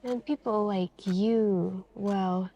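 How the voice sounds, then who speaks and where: A suspicious female detective played by a woman questions a suspect in an ASMR roleplay. She talks extremely slowly and deliberately, leaving pointed pauses. Her manner is measured, insinuating and quietly menacing. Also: medium pitch, fairly even intonation, lo-fi sound. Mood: accusing, knowing. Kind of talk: slow ASMR roleplay interrogation.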